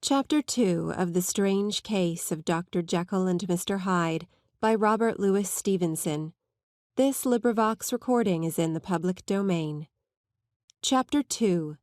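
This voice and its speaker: A woman's voice: on the low side, a little slow, a little mellow, no echo, studio quality. A woman reading scripted literary narration as an audiobook narrator.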